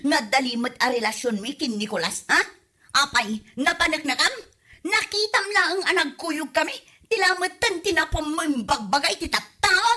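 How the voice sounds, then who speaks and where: A woman's voice: sits high, animated, moderate pace, pushed and bright. A woman acting a hot-tempered married woman in a comedy drama, scolding and exclamatory. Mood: furious. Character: furious scolding married woman confronting a gossip.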